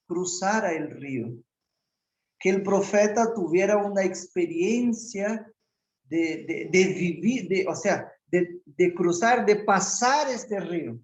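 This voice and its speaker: A man preaching a sermon as pastor, a native Portuguese speaker who talks in slow, measured phrases and often restarts. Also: sits high, darkish tone. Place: church hall. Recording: echoey church hall.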